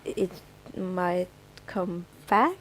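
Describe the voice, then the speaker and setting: A woman's voice: fairly low pitch, drawn-out words. A woman co-hosting a casual fan podcast, telling stories in a giggly, repetitive way. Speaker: podcast co-host.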